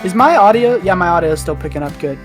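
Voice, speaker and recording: A young adult man chatting casually as a podcast guest, with a joking, animated manner. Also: high voice, fast, faint music behind. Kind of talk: casual chat.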